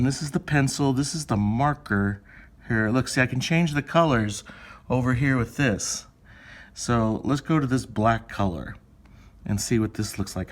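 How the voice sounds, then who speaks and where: A man speaking as an elementary school teacher, chatting informally through step-by-step instructions for young children and thinking aloud. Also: mid-range voice.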